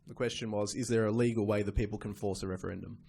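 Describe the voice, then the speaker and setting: A man moderating a live public forum, speaking in a halting, procedural way into an event microphone. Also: lowish voice, fairly even intonation, vocal fry, dark tone, breathy edge. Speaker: panel moderator. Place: public meeting room.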